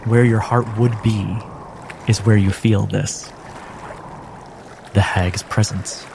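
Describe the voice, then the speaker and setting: Slow, low-voiced man narrating over music. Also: little pitch movement, noisy background. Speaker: game master. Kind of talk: cinematic tabletop game narration. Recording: music behind the voice. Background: clear music.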